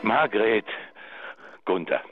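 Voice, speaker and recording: An actor playing a betrayed, bitter husband leaving emotional, halting phone messages in a German radio drama. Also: male voice, on the low side, expressive, over loud music. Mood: wounded, wistful. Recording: dull answering-machine sound, faint noise.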